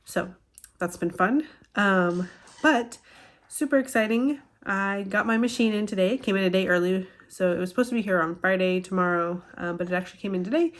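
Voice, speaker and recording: A young woman casually vlogging from her home craft room, talking in a relaxed, chatty way with lots of ums, her nose a bit stuffy. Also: mid-range voice, a little fast. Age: young adult. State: stuffy nose, slightly nasal. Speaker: small business owner vlogger. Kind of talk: casual vlog chat. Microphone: phone camera at arm's length.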